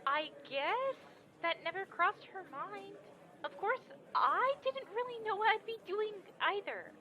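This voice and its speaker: An actress playing an eager, scatterbrained young member of a team in an audio drama. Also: female voice, very high-pitched, big pitch swings, measured pace, pushed and bright, full character voice. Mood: sheepish.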